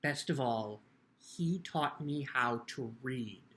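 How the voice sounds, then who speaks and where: A man's voice: medium pitch, slow pace, fairly bright tone. A man playing an earnest, lonely teenage boy who tells his own story in plainspoken, cheeky words. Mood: reflective. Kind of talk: first-person dramatic book reading.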